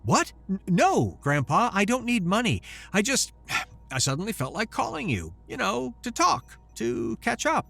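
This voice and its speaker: A man voicing a sheepish young student pleading with his grandfather in a quick, flustered way. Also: high voice, wildly swooping pitch, gravelly, sharp tone, soft background music, pitched above the performer's own voice, exaggerated voice.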